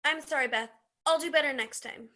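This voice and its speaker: A woman's voice: sits high, fairly quick, pushed and bright. A woman in an amateur play acting a meek, literal-minded teenage sidekick, earnest and apologetic.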